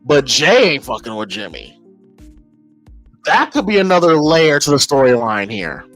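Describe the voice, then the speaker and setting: A man's voice: a little high, big pitch swings, normal speed, a bit bright, faint music behind. An American man giving casual, emphatic opinion commentary as a podcast host, informal and often repeating phrases as he thinks aloud.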